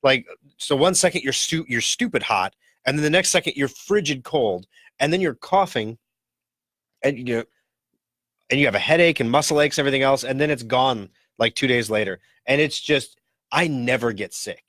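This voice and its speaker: A man hosting a casual talk radio show in a rambling, joking way, his voice rough and scratchy from a cold. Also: medium pitch, lively intonation, normal speed, fairly bright tone, no room sound, clean studio sound.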